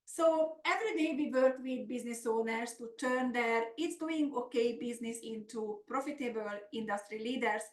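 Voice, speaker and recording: A woman's voice: on the high side, normal speed, few pauses, echoey room. A middle-aged woman from Hungary with a Hungarian accent, hosting a business coaching webinar in an earnest, upbeat, semi-scripted style.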